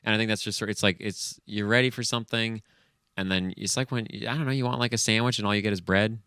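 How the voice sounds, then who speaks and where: A man's voice: on the low side, steady tone, quick pace, bright, forceful tone, clear, resonant tone, no echo, studio quality. A man co-hosting a podcast, chatting casually about films in a rambling, sometimes profane way. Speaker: podcast co-host. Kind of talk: casual film review chat.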